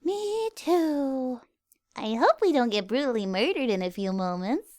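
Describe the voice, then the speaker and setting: A woman's voice: sits high, expressive, unhurried, a little mellow, no echo, studio quality, voice pushed up. A woman voicing a sweet, playful young female unicorn pony in love, teasing and girlish, in a fan fiction reading. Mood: tender.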